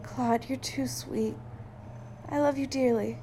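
A woman playing a caring, sensible scientist, wife and mother in an audio drama, with a gentle, earnest and expressive delivery. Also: sits high, slow pace, a little hiss or hum. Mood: tender.

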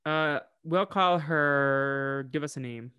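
Guy on a podcast recapping a cartoon, kinda high voice, laughs a lot, dry close mic. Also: slow, clean tone, no room sound, dull audio. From United States. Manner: animated storyteller, laughs often. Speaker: podcast co-host. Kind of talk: casual recap chat.

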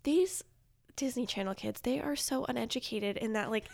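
A woman chatting casually about pop culture, retelling things in an animated way with quoted asides. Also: fairly high pitch, moderate pace, creaky. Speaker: podcast guest.

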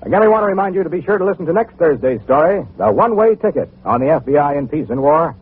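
A man's voice: on the high side, big pitch swings, quick pace, slightly rough. A man reading scripted credits and notices as a formal old-time radio announcer. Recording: tinny old radio broadcast over music.

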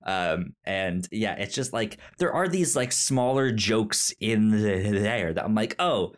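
Man, low pitch, podcast co-host, casual film chat, halting delivery, repeated word starts. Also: animated.

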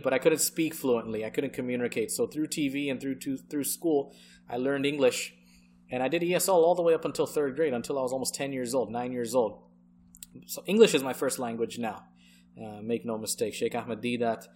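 An American man with an ordinary mid-range voice shares his life story in a podcast interview. He speaks earnestly and leans on his points, often pausing to check in with the listener. A faint hiss runs quietly behind him. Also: medium pitch. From United States. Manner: earnest and emphatic, often checking in with "okay" and "right". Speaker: Islamic scholar guest.

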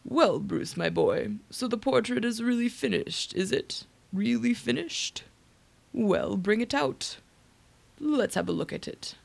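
A woman playing an irascible, blustering old rich uncle with a creaky voice in a comic radio drama. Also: fairly high pitch, expressive, full character voice. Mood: eager, hearty. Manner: emphatic, halting repetitions when indignant.

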